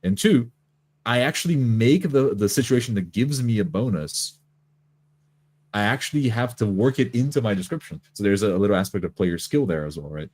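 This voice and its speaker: A man's voice: lowish voice, lively intonation, fairly quick, a little mellow. A man who plays tabletop games, casually explaining his thoughts with examples and checking in as he goes. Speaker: tabletop player and game designer. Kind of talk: casual explanatory chat about roleplaying games.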